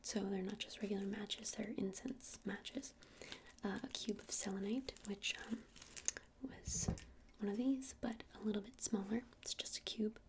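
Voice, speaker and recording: A woman whispering softly and breathily in an ASMR roleplay as a friendly witch shopkeeper, explaining things in a gentle, hesitant, rambling way. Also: mid-range voice, steady tone, normal speed, background noise. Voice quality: breathy unvoiced whisper with creaky edges. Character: friendly witch shopkeeper selling crystals and incense. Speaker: ASMR roleplayer playing a crystal shop witch.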